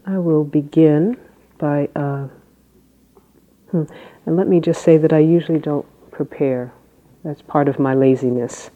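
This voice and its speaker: A woman Zen teacher with a low voice, giving an unhurried dharma talk with halting phrases and long pauses. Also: fairly even intonation, warm tone, muffled recording.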